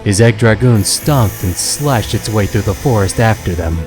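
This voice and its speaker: A man's voice: lowish voice, normal speed, over music. A man giving scripted, dramatic narration of an adventure story as the narrator.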